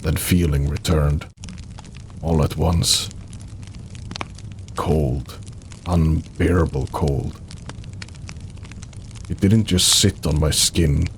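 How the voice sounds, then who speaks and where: A man narrating an audio drama in the first person, with a somber, measured, dramatic delivery in short phrases and a gravelly voice. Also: a bass voice, fairly even intonation, slight background noise. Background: background music.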